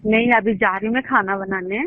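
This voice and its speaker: A woman's voice: mid-range voice, steady tone, normal speed, dry recording. A woman chatting casually on a phone call, mixing English words into her talk over a narrow, tinny line.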